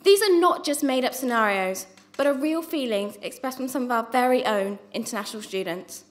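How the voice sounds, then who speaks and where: Young woman giving a prepared speech, high voice. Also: lively intonation, a little fast. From not from New Zealand. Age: young adult. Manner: earnest, with small restarts on words. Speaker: student presenter. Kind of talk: prepared speech read from notes. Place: university lecture theatre. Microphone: microphone on a stand.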